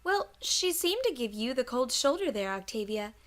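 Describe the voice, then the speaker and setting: Woman as a high, gossipy mare friend. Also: big pitch swings, moderate pace, fairly bright tone. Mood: sly, teasing. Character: teasing, gossipy female pony friend.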